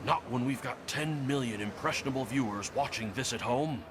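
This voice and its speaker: A man's voice: medium pitch, brisk, background noise, close to an everyday voice. A man playing a middle-aged American senator in a motion comic, folksy, oily and patronizing. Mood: angry, threatening. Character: folksy, manipulative middle-aged male senator, bullying beneath charm.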